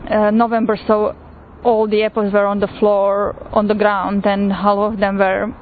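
A woman with a Slovak accent recounts a personal testimony, pausing often and filling gaps with "uh". Her voice stays level and flat in pitch. The recording is thin and phone-like, with a faint hiss underneath. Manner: unhurried, with frequent pauses and fillers. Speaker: pilgrim sharing testimony.

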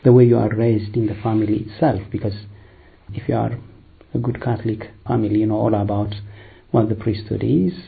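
A priest, telling his vocation story in a hesitant, unhurried way with many fillers. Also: lowish voice, steady tone, vocal fry, phone-quality sound. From Eritrea, raised in Ethiopia. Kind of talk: personal vocation testimony.

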